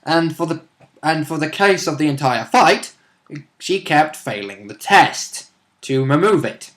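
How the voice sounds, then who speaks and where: A man's voice: fairly high pitch, lively intonation, measured pace, fairly bright tone. A man chatting casually as a player in a tabletop role-playing game. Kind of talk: casual chat.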